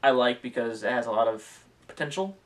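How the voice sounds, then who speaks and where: A man's voice: mid-range voice, animated, a little fast. A man co-hosting a podcast, talking casually and conversationally about a hobby. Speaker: podcast co-host.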